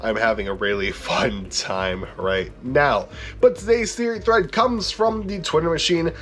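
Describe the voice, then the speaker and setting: A man hosting a casual fan-theory video, upbeat and chatty, with a stuffed-up, congested voice. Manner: upbeat and chatty, swooping pitch. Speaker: YouTube channel host.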